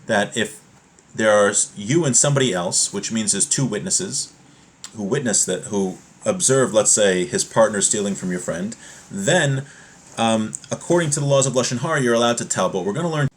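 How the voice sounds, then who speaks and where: A man giving an informal religious lesson, explaining step by step in a halting way and rephrasing his points as he goes. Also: fairly low pitch. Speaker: religious teacher giving a class.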